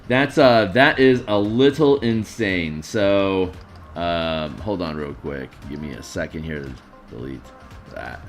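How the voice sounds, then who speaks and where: A man with a tired, gruff voice streaming casually, rambling through tabletop game design with lots of fillers. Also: low voice, slow, soft background music. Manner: rambling, many fillers and restarts. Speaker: streamer and map artist.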